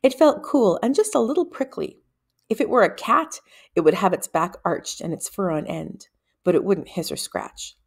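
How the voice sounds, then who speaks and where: A woman narrating a story aloud in an expressive, deliberate storybook style with dramatic phrasing. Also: mid-range voice, vocal fry, husky.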